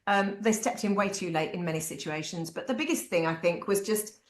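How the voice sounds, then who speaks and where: A woman with a British accent, a parent giving candid, animated advice to other parents. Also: medium pitch, fairly quick. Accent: British English. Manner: animated, acts out quoted voices. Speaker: parent speaker. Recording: echoey room.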